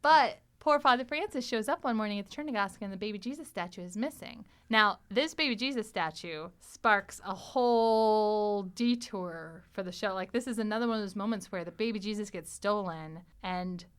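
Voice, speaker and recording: A woman hosting a casual panel chat, retelling scenes in an animated way and acting out quotes. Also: mid-range voice, moderate pace, few pauses, clear, resonant tone. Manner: animated storyteller, acts out quoted lines. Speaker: podcast host retelling episodes.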